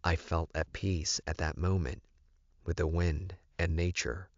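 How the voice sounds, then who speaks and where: A man with a very low voice narrates a story from a script. He reads slowly, and the recording is dry and somewhat dull. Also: level tone, no room sound. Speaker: audiobook narrator.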